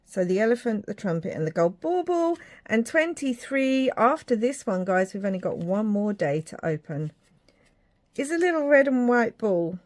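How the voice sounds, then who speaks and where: A woman's voice: fairly high pitch, sing-song, moderate pace, a little mellow. A woman from Ireland, talking casually to her viewers while she works on a craft project, rambling a little and poking fun at her own drawing skills. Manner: chatty, self-deprecating, rambling with fillers. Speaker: crafting vlogger. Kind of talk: casual craft tutorial chat.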